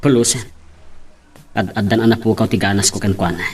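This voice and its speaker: A man's voice: fairly low pitch, steady tone, a little fast, slightly rough. A man playing a troubled young husband with an Ilocano accent, confiding earnestly in a drama. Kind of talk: Ilocano radio-style drama acting.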